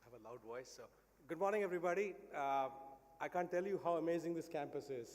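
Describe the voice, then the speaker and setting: A man's voice: on the high side, quick pace, a little mellow, slight background noise. A man, a society president, giving a conversational conference address with fillers and restarts, in a large lecture hall. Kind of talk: conference speech. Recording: long hall reverb.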